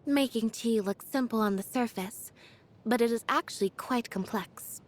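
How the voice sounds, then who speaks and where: A woman voicing an aloof, quiet young female magician's assistant in a video game, deadpan, reserved and understated. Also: a little high, normal speed, natural voice. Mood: thoughtful. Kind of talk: video game character voice lines.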